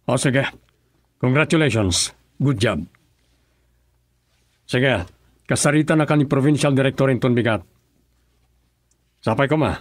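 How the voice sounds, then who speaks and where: An actor with a Filipino accent playing a composed, authoritative husband in a radio drama, in a measured manner. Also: male voice, mid-range voice, dry recording, clean studio sound, natural voice. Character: composed, authoritative husband involved in fighting crime.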